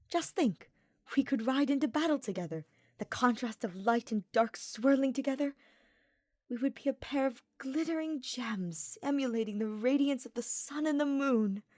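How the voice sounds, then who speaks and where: A woman's voice: sits high, normal speed, a little mellow, no echo, clean studio sound, pitched above the performer's own voice. A woman voicing an earnest, cheerful young nobleman knight in an audiobook, with florid and formal phrasing. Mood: wistful, eager. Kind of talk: fan fiction audiobook character voice.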